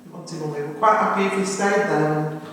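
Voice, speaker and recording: A woman's voice: low voice, a little fast, fairly bright tone. A middle-aged woman gives an informal, affectionate family eulogy in a chatty, anecdotal way. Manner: chatty, affectionate, turning to relatives for confirmation. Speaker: family member giving a funeral eulogy. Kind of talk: informal spoken tribute with anecdotes. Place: crematorium chapel. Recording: very echoey hall, distant mic, faint noise.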